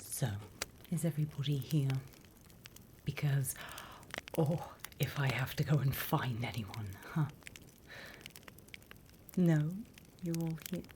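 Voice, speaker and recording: Woman playing a slow, whispering British demon teacher. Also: low-pitched, background noise. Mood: menacing, dry. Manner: slow, deliberate, teacherly, teasing menace. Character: sly demon teacher briefing little demon trainees, mock-stern. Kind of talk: whispered ASMR roleplay.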